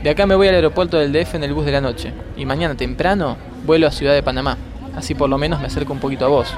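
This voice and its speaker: A man's voice: on the high side, racing, noisy background, lightly acted. An actor playing an affectionate, poetic traveller who misses his beloved, speaking tenderly in a radio drama. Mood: tender, concerned. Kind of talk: radio drama acting, intimate conversation. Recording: remote recording over outdoor ambience and music.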